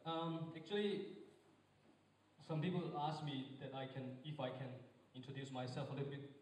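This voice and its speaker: A young man from Northeast India, giving a heartfelt, halting groom's speech at a wedding reception, pausing between short phrases. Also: medium pitch, darkish tone. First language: Mizo. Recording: roomy echo, distant from the mic, faint background noise.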